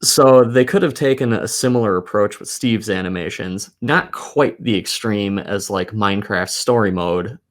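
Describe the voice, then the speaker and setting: A man's voice: on the low side, normal speed, few pauses. A man co-hosting a casual video game discussion, giving opinions with plenty of swearing. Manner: profane, opinionated. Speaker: podcast co-host.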